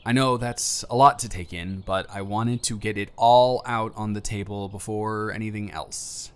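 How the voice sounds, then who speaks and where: A man performs an earnest young man in an intimate audio drama, baring his feelings to a close friend. His voice sits fairly low. He speaks hesitantly and with open vulnerability, anxious but sincere. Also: steady tone, normal speed, clear, resonant tone, close to an everyday voice. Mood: tender, confessional. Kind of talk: emotional roleplay audio acting, intimate monologue to the listener.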